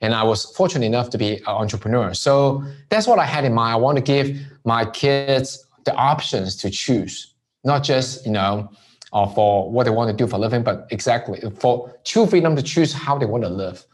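Man from Hong Kong, conversational interview guest, relaxed and anecdotal. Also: mid-range voice, normal speed. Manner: relaxed storytelling with frequent "right?" tags. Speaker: entrepreneur guest.

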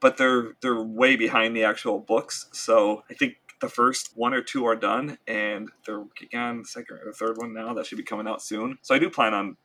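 A man with a bright, forward voice and an average pitch talks casually as a podcast co-host. He explains things in a halting way, doubling back on words as he goes. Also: steady tone. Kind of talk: casual pop culture discussion.

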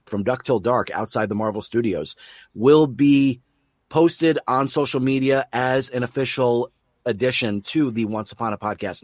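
A man with a fairly low voice hosts an interview podcast. He speaks with open enthusiasm and effusive praise, and the sound is close and dry, with hardly any room around it. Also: dry recording. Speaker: podcast host. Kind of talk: interview show hosting.

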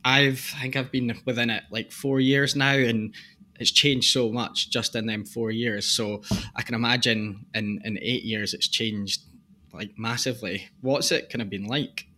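A man hosting a podcast, asking questions in a relaxed chat and often murmuring agreement. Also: mid-range voice, a little slow, slight background noise. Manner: relaxed, with frequent backchannel agreement. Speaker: podcast host. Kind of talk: casual interview chat.

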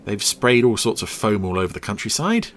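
A man's voice: lowish voice, big pitch swings, a little fast, a bit bright, a little hiss or hum. A man giving casual, wry, teasing running commentary on a television episode, often mock-exasperated. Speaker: podcast host commenting on an episode.

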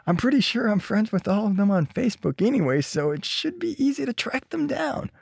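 A laid-back, friendly American bro in an audio drama. His pitch swings freely, with a creaky edge, and the recording is close and dry. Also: very animated, brisk, dry recording, full character voice. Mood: supportive, eager. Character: easygoing, eager, friendly male former high school classmate. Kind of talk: casual, naturalistic audio drama acting.

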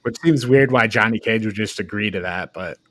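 A man hosting a podcast, casually recapping and discussing a film in a halting way that tends to trail off. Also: fairly low pitch, fairly bright tone, no room sound. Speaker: podcast host.

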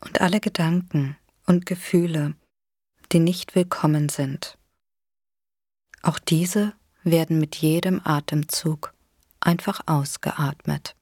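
A woman leading a guided imagery relaxation exercise in a soothing, gentle, unhurried way with long pauses. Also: low voice, fairly even intonation, husky, dry recording, studio quality. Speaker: guided meditation instructor.

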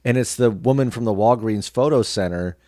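A man of about forty chatting casually as a guest, telling stories in an animated way and acting out the dialogue. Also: lowish voice, a little fast, dry recording, clean studio sound. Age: around 40. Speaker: guest, radio personality and actor. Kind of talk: casual chat, anecdote telling.

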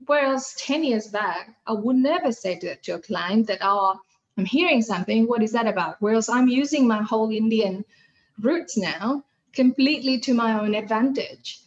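A woman's voice: fairly high pitch, moderate pace, lo-fi sound. A middle-aged woman from Fiji, whose first language is Hindi, chatting animatedly as a podcast guest with many fillers and restarts. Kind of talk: casual interview chat.

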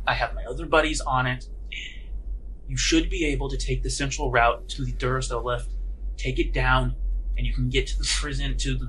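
A man acts out a weary, exasperated prison guard in a tabletop space opera. He is a grudging, crooked ally with a bright, forceful voice. There is faint noise behind him. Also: medium pitch, natural voice, poor audio.

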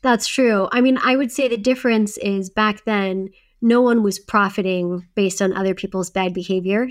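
A woman co-hosting a podcast, talking in a casual, reflective conversational style. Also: mid-range voice. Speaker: podcast co-host.

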